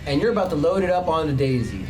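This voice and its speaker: A man running a tabletop roleplaying game, narrating scenes casually with fillers and bursts of laughing excitement. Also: fairly high pitch, fast, low-quality recording. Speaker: game master. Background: music.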